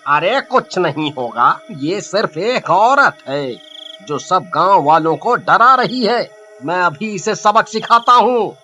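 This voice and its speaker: A man voicing a bold, dismissive village husband in an animated horror story, scoffing and exasperated. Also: high-pitched, takes it slowly, soft background music, heavily acted. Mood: angry, bold.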